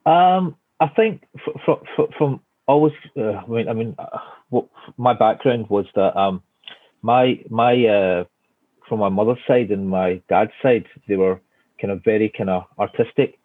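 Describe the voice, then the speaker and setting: A Scottish man with a Glaswegian accent talks through his answers, stopping often with fillers and restarts. His voice sits fairly low and comes over a thin, phone-like line. The sound is dry, with no room around it. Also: unhurried, no room sound. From Scotland. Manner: hesitant, many fillers and restarts. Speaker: guest architect. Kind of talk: casual interview answers. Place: home office at home.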